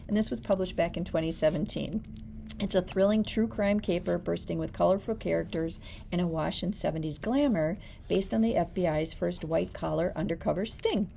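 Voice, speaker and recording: A woman's voice: mid-range voice, gravelly, mellow, a little hiss or hum. A woman librarian hosting a book talk, reading prepared blurbs aloud with some light chat. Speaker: librarian host.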